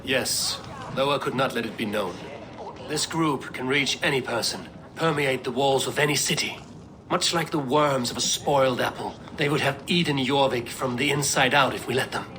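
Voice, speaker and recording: An actor playing a steadfast Viking warrior, calm and solemn, in a dramatic game cutscene. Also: male voice, medium pitch, normal speed, background noise, natural voice. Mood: calm, measured. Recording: orchestral music behind.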